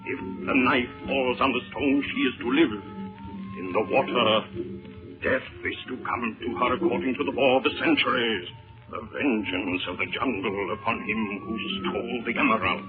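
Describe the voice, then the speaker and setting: An actor playing a menacing, cryptic priest of a jungle god in a 1930s radio serial, speaking in solemn, formal pronouncements. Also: male voice, medium pitch, fairly even intonation, moderate pace, music in the background, natural voice. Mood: incantatory. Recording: tinny, band-limited 1930s radio recording.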